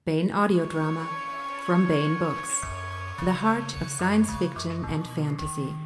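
Female promo announcer, slightly low register, scripted read over a clear music bed. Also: unhurried. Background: prominent music.

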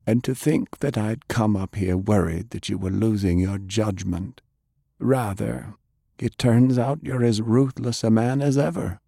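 A man voicing a principled, skeptical older gentleman in an audiobook, speaking in a measured, disapproving way. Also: lowish voice, vocal fry, husky, no echo, studio quality, voice pushed up, heavily acted. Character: principled, skeptical gentleman, elder colleague of the general.

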